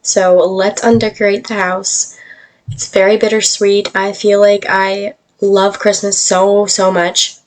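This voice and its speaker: A woman's voice: medium pitch, low-quality recording. A woman vlogger chatting through a casual voiceover for a cleaning video, her voice a little off from a cold she's getting over. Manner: chatty and upbeat, with frequent "um"s. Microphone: voiceover mic, recorded apart from the footage.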